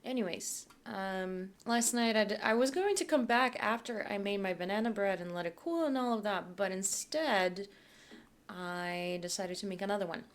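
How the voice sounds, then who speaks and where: A woman's voice: medium pitch, moderate pace. A young woman from Poland, chatting casually as a YouTuber while she builds in a game, rambling and thinking aloud.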